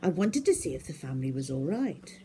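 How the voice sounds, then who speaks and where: A woman telling a story to children in an expressive, emphatic way, addressing young listeners directly. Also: low voice, brisk, a little hiss or hum, low-quality recording.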